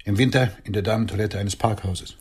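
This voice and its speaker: A man's voice: fairly low pitch, fairly even intonation, fairly quick, breathy edge. An actor in a radio play playing a shabby, bashful male drifter who speaks formally and politely, clinging to his dignity. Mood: frank, subdued.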